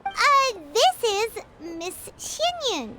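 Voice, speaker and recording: Tiny game fairy, very high childlike pitch, bright, cheeky, drawn-out delivery. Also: female voice, sing-song, faint music behind, exaggerated voice. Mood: excited, cheeky. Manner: speaks of herself in third person, exclamatory. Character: small chirpy childlike fairy companion, cheeky and outspoken. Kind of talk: animated game voice acting.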